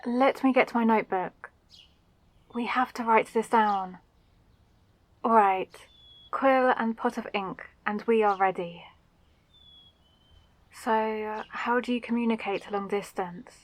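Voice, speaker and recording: A woman voicing a friendly, caring and curious female mage in a fantasy roleplay audio, speaking gently and attentively. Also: on the high side, slow pace, pauses often. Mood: curious, eager. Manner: gentle, eager, attentive questioning. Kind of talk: roleplay audio drama for the listener.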